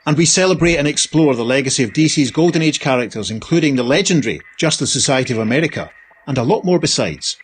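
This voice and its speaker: Man, medium pitch, fast pace, scripted promo, podcast co-host, slight noise. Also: lively intonation.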